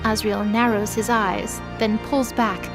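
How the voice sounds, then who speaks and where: A woman's voice: mid-range voice, fairly even intonation, measured pace, over music. A woman giving dramatic scripted narration as the narrator of an audio drama.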